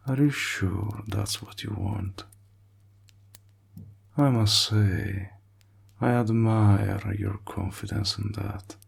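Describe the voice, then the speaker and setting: Man voicing a slow, gravelly, sly evil warlock. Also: heavily acted. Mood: intrigued, teasing. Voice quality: breathy, gravelly, husky low voice. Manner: slow, deliberate, drawling, insinuating. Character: sly evil male warlock, teasing dark bargainer. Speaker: voice actor. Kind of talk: roleplay audio drama, intimate villain monologue.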